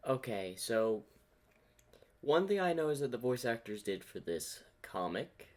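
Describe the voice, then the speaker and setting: A man's voice: mid-range voice, sing-song, a little slow, darkish tone. A man hosting a reaction video, musing aloud in casual commentary.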